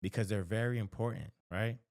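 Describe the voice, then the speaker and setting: Deep-voiced American man giving counseling advice. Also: a little fast, a little mellow, no room sound, clean studio sound. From the American South. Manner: emphatic, repeats key phrases for stress; conversational, often checks in with "right?" and "okay?". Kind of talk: direct-to-camera advice talk.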